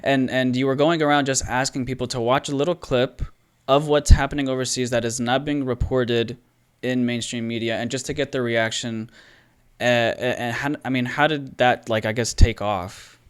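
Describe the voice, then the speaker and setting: Smooth-voiced man hosting an interview. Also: mid-range voice, fairly even intonation, moderate pace. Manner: friendly, affirming, chatty questions. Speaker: podcast host, interviewer. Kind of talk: casual interview.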